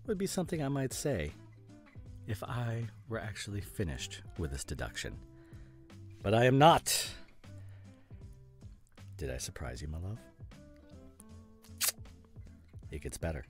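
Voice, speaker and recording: A low male voice acted by a man plays a self-satisfied detective unmasking a culprit in an audio drama. He is grandiose and deliberate, savoring dramatic pauses. His pitch swoops widely for effect, over faint plucked guitar. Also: sing-song. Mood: smug accusing, then theatrical, gloating. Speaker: voice actor in an audio roleplay. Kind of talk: theatrical scripted ASMR roleplay monologue.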